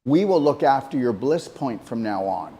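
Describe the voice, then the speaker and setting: A man's voice: mid-range voice, big pitch swings, moderate pace, dark tone. A man co-hosting a casual talk show, speaking emphatically and rambling, often repeating himself. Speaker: co-host.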